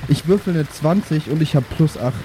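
A man's voice: a little high, brisk, background noise. A man playing a tabletop role-playing game, grumbling casually in character.

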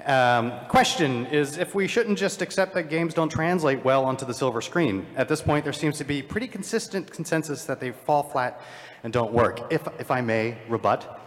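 A man's voice: medium pitch, expressive, normal speed. A man speaking as a panelist at a live convention, telling things in an enthusiastic, theatrical way. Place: large indoor convention room. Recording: echoey hall, live panel.

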